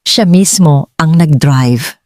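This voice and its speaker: A woman's voice: deep voice, takes it slowly, darkish tone, dry recording, studio quality. Woman acting a gossipy wealthy Filipino socialite. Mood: scandalised gossip. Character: gossiping wealthy Manila country-club socialite. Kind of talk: audiobook dialogue.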